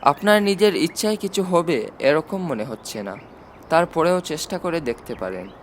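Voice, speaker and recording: A man voicing a polite, worried, learned secretary in a deferential, measured way for an audiobook. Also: fairly high pitch, lively intonation, slight background noise, close to an everyday voice. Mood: politely uneasy. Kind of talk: audiobook character dialogue.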